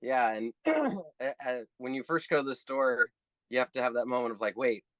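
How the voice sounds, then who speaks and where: A man from California chatting as a podcast guest, halting and easygoing, often poking fun at himself. His voice sits mid-range and sounds dry and close. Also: medium pitch, expressive, a bit bright, dry recording, low-quality recording. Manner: hesitant, many "uh" and "you know", self-deprecating. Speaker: guest, sailor. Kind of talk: casual chat.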